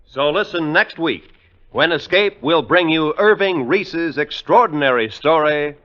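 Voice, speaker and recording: Animated American male radio announcer, vintage sound. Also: on the high side, unhurried. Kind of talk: 1950s network radio announcing, scripted credits and promos. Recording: muffled 1950s radio recording, faint music.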